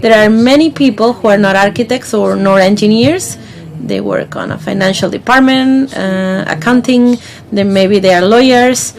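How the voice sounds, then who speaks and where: A woman simultaneously interpreting a conference talk into English, pausing mid-phrase with fillers as she catches up. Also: mid-range voice, animated, faint music behind.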